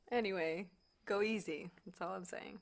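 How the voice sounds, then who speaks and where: An actress playing a warm, teasing woman doctor in a naturalistic audio drama, talking casually and telling stories with animation. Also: mid-range voice, unhurried, gravelly. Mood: teasing, amused. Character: warm, teasing woman doctor, old flame, sheltering fugitives.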